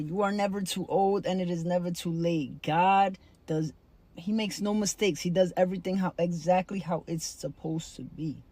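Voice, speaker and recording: A woman's voice: low voice, dark tone. A woman in her twenties giving an earnest, plain-spoken personal testimony. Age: 20s. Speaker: vlogger, licensed funeral director.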